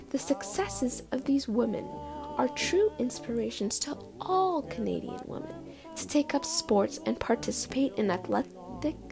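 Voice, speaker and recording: A high, creaky-voiced woman commentator of 1920s radio, acted out by a woman speaks over clear background music. She is earnest and formal, declaiming a prepared speech to the nation.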